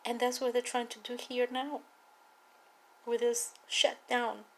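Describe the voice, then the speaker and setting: A woman from Cuba with a Cuban accent, a native Spanish speaker, giving an earnest, emphatic personal testimony and political appeal with deliberate repetition. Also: on the high side, little pitch movement, a bit bright, slight background noise. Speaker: political activist speaking to viewers.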